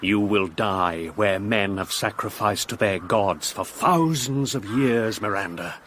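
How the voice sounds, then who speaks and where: An actor playing a grandiose, fanatical murderer in a radio play, speaking in solemn, incantatory phrases. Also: male voice, fairly low pitch, measured pace, a bit bright, slight background noise. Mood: ritual, hypnotic. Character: grandiose, fanatical murderer luring his child victim.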